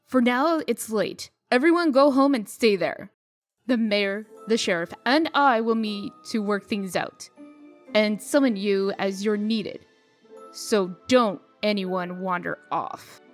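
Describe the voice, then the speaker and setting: A woman playing a stern, exasperated official in a comic dub, brisk, scolding and authoritative. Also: mid-range voice, faint music behind, lightly acted. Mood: weary, commanding. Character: stern, exasperated, businesslike female city official.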